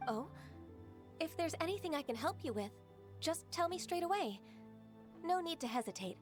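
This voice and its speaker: A woman playing a gentle, modest secretary and mentor in a video game, courteous, reassuring and slightly formal. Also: very high voice, lively intonation, moderate pace, faint music behind. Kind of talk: dubbed game dialogue acting.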